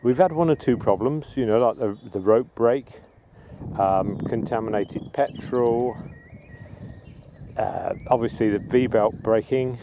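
A middle-aged man talks casually to the camera in a soft-edged, mellow voice. His delivery is rambling and matter-of-fact, like someone showing you around his plot. He is recorded in the open air, with light outdoor noise and birds behind him. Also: medium pitch, highs cut off. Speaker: allotment gardener presenting own video. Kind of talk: casual vlog demonstration.

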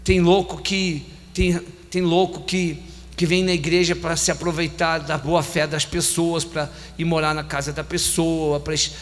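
A man in his forties with a Brazilian Portuguese accent, preaching a sermon on a handheld mic, repeating phrases with emphasis and slowing down on key lines. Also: a little high, flat delivery. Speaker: bishop preaching. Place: large church hall. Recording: long church hall reverb, faint hum.

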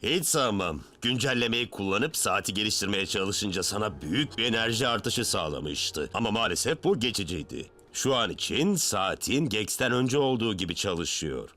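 An actor playing a solemn, mysterious alien mentor in a cartoon dub, speaking in a measured, formal way. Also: male voice, fairly low pitch, animated, bright, forceful tone. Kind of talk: animated series dub acting.

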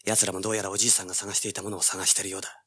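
A man's voice: low voice, level tone, rapid-fire, pushed and bright, slightly rough, no echo, full character voice. An actor playing a cocky, cool-headed young treasure hunter who narrates his own hard-boiled adventure in an audio drama. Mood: cool, calculating. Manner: hard-boiled, self-assured.

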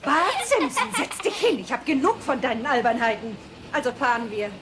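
A stern cartoon schoolteacher who scolds and commands. Her voice is high and very animated. The audio play recording carries clear background noise. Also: normal speed. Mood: exasperated, scolding. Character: stern exasperated female schoolteacher.

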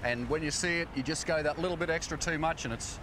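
A man's voice: a little high, quick pace. A middle-aged man, a fishing guide, giving practical, encouraging advice on a beach. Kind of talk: casual chat. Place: outdoors on a sandy beach by the sea. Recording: outdoor wind and water noise.

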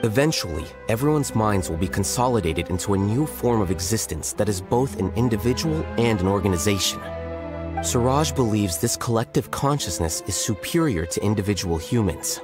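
An actor playing an aloof young male scholar in a game, speaking calmly and curtly. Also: on the low side, lightly acted. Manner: calm, measured, curt. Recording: game audio with music.

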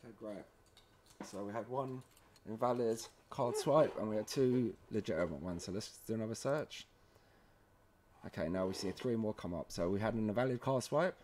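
A man presenting a software tutorial in a casual, halting, step-by-step way, often checking in with a short "okay". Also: fairly low pitch, a little hiss or hum. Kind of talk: casual screen-recorded software walkthrough.

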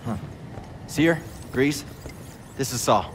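An actor playing an earnest, determined young warrior monk in a video game, speaking sincerely and briefly. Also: male voice, medium pitch, takes it slowly, noisy background, close to an everyday voice. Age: young adult. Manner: sincere, quiet, brief replies. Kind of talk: understated video game acting.

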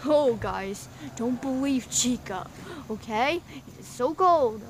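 A woman's voice: fairly high pitch, lively intonation, slow, background noise. A woman voicing a jumpy male plumber plush who complains and scares easily. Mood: whiny. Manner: whiny, easily spooked.

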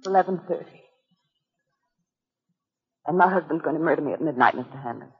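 A tinny, reverberant old radio recording carries a frightened wife. She speaks with tense urgency in a very husky voice, in 1940s radio drama style. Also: sits low, expressive, brisk, faint music behind, full character voice. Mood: tense, fearful. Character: tense, frightened wife of a comic strip artist.

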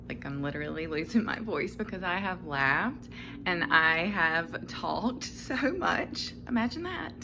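Chatty woman vlogging on a phone. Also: on the low side. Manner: chatty, laughs often, speaks softly at times. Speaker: farm vlogger. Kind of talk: casual vlog to camera. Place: large convention center and busy bar. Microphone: handheld phone at arm's length. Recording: loud crowd and background noise.

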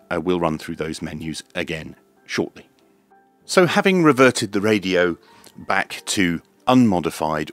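A man's voice: low-pitched, no echo. A man presenting a step-by-step technical demonstration in a methodical, matter-of-fact way. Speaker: presenter of a radio tutorial.

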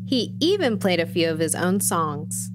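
Lively documentary narrator. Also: female voice, lowish voice, moderate pace, over loud music. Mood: wry, informative. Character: knowing woman host of music documentary. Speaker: narrator and host.

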